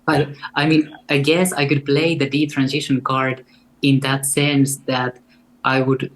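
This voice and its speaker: Deep-voiced Finnish woman, flat delivery. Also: a little slow. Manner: earnest and reflective, with light laughs. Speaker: guest artist. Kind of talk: casual interview conversation.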